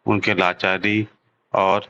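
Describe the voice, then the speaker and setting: A man playing a calm, earnest village headman who addresses villagers in a measured, moralizing way in a children's cartoon. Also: low-pitched, highs cut off, a little hiss or hum, heavily acted. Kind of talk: Hindi children's cartoon dialogue, speech to villagers.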